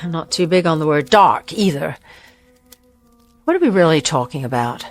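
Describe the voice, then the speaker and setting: A woman's voice: sits low, lively intonation, moderate pace, no room sound, faint music behind. An actress playing a wary, guarded woman in a naturalistic radio drama, anxious and dryly sardonic, sometimes hesitant. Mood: uneasy, wry.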